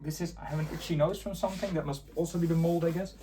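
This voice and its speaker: A man's voice: a little high, steady tone, very fast, heavy background noise. A man giving a casual, unscripted hands-on demonstration, muttering to himself as he works. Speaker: host of a tape deck repair channel.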